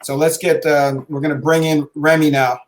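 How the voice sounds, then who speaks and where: A middle-aged man hosting a show conversationally, halting often with filled pauses. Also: on the high side, little pitch movement. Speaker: podcast host, basketball coach.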